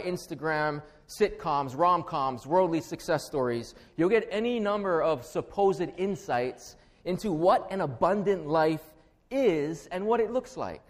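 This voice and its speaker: A middle-aged man from Hawaii with a Hawaiian accent, preaching a sermon as pastor in an earnest, measured way. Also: on the high side. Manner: earnest, measured preaching with a slow, deliberate prayer.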